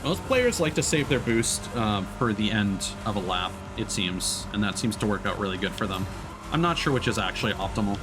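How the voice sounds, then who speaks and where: A man giving casual, halting gameplay commentary as a live streamer, explaining as he plays. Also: lowish voice, expressive. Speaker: live streamer playing a racing game. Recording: game music behind the voice.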